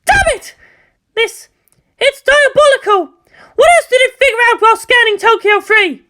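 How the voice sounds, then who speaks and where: A fiery female commander, acted out by a woman narrator, pitched very high and swinging widely as she shouts her indignation. She is alarmed, emphatic and quick to anger, in a dramatic audiobook style. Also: expressive, exaggerated voice. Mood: panicked, shouting. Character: hot-tempered, alarmed female military commander. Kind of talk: dramatic audiobook character acting.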